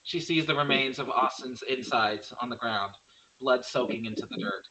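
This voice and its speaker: Bright, forceful male script narrator. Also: medium pitch, normal speed, low-quality recording. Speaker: narrator reading stage directions. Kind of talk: scripted narration of horror screenplay.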